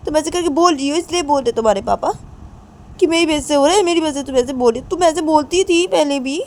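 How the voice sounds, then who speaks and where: A woman's voice: high-pitched, fast, a little mellow. A woman acting as a hurt mother who admonishes a child and blames herself repetitively, in an amateur story. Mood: reproachful. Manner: repetitive, self-blaming, admonishing. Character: hurt, reproachful mother gently scolding a child.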